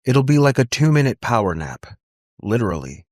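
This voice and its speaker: A man's voice: mid-range voice, very animated, brisk, a little mellow, no echo, clean studio sound, pitched above the performer's own voice. A man voicing a stressed woman scientist in an audio story, urgent and placating. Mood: anxious placating.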